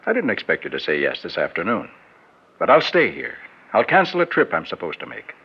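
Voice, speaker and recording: A man's voice: deep voice, huge pitch swings, a little fast, gravelly, husky, exaggerated voice. An actor playing an ardent, self-centered man wooing an old flame, insistent and earnest, in classic radio drama style. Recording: muffled, lightly noisy old radio recording.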